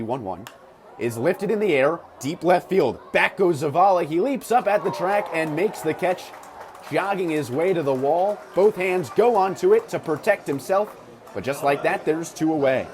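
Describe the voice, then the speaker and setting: Male baseball radio announcer, higher-pitched, clipped descriptive play-by-play, clear ballpark noise behind him. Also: darkish tone. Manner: clipped, descriptive calls that swell on big plays. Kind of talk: live sports commentary. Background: ballpark ambience.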